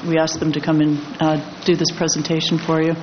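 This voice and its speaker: A woman with a low voice presenting a staff report to a board, semi-formally and with hesitant pauses. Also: completely flat delivery. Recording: echoey, muffled, very noisy meeting room.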